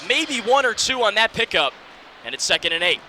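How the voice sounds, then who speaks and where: A man's voice: sits high, sing-song, fairly quick, rough recording. A man in his early twenties calling college football live on radio in short, vivid, present-tense phrases. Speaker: play-by-play announcer. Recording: stadium crowd and loud background noise.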